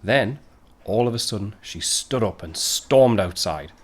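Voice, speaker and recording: A man's voice: on the low side, lively intonation, measured pace. A man playing a wry narrator, telling a 1919 tale in a dramatic storytelling style for an audio drama.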